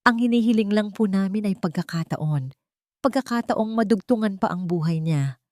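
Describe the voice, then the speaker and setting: A woman's voice: medium pitch, expressive, moderate pace, a little mellow, no echo, studio quality, voice pushed up, lightly acted. A woman voicing a scheming daughter-in-law in a story, pleading melodramatically with a broken, sobbing voice. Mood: tearful pleading. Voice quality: broken, sob-filled voice.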